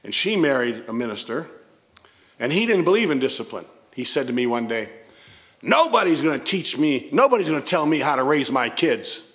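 A man's voice: mid-range voice, very animated, fairly quick, a bit bright. An older man with a Midwestern American accent, a pastor preaching a sermon in a church sanctuary on a pulpit microphone, telling stories with emphatic asides.

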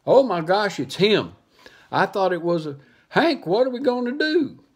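A man's voice: on the high side, animated, measured pace, gravelly, exaggerated voice. A man voicing a timid, fretful little male dog who whines anxiously. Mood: worried. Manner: anxious, whiny.

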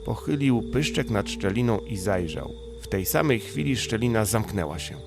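A man's voice: on the low side, lively intonation, normal speed, creaky. A man narrating a children's bedtime audiobook in the style of a storyteller. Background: music.

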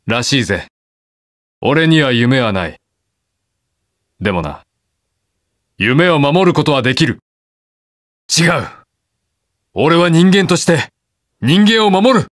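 Gruff masked hero, swinging pitch. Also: male voice, no room sound, clean studio sound. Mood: solemn, resolute, then defiant, fierce. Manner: curt, brusque, rough casual speech. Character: gruff, reluctant young male masked hero, stubbornly protective. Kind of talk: video game voice lines, battle quips.

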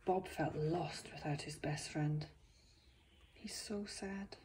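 A woman reading a picture book aloud to young children as its author, expressive, with gentle asides. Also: low voice, slow pace, whispered.

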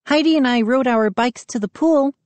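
A woman's voice: on the high side, lively intonation, moderate pace, a little mellow, dry recording, voice pushed up, natural voice. A woman voicing a perky, chatty young girl.

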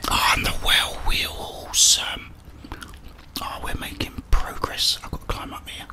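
A middle-aged British man giving whispered ASMR commentary on a video game, muttering his thoughts aloud. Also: measured pace. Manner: muttering to himself, thinking aloud. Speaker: gaming streamer.

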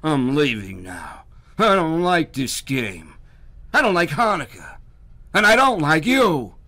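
A man's voice: low-pitched, expressive, takes it slowly, husky, heavily acted. A man doing a sulky, grumbling goblin. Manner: grumbling, then loud and shouting. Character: sulky, grumbling male goblin, sore loser.

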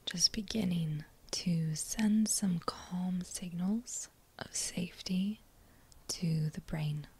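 A woman guiding a meditation in a breathy whisper, gentle and soothing, with long unhurried pauses between phrases. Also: on the low side. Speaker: meditation guide. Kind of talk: guided meditation, whispered soft-spoken.

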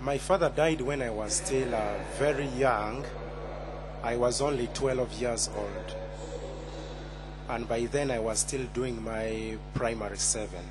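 A man's voice: mid-range voice, measured pace, background noise. A man in his twenties from Uganda giving a personal testimony at a conference, hesitant, with many fillers and restarts. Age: 20s. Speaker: conference speaker.

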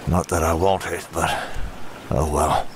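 A man voicing a gruff, injured warrior in a comic dub, curt and impatient. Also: a bass voice, steady tone, a little slow, a little hiss or hum. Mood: grudging.

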